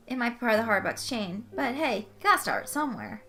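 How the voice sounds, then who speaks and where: A woman voices a breezy young man who co-owns a café in an audio story. The delivery is cheerful and casual, high in pitch and animated. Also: a little fast, faint music behind. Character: upbeat, easygoing young male coffee shop co-owner.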